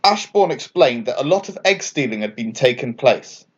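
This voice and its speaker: Animated man reading a children's story. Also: medium pitch, normal speed, muffled recording. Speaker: author and storyteller. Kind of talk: scripted children's bedtime story narration.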